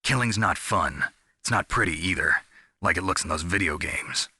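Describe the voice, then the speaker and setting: An actor playing a gruff, world-weary covert soldier in a video game, terse and deadpan with a gravelly voice. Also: male voice, low-pitched, normal speed, no echo, clean studio sound, full character voice. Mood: grim. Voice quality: gravelly, husky. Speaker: soldier on a mission. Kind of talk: scripted video game voice acting.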